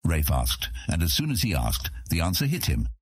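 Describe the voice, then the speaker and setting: A man narrating a scripted fantasy audiobook as a measured, expressive storyteller. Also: very deep voice, slightly rough, dry recording, studio quality.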